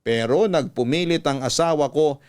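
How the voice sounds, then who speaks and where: A Filipino man works as a radio storyteller, reading scripted narration in the first person. His voice sits a little higher than most men's. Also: moderate pace, a little mellow, clean tone.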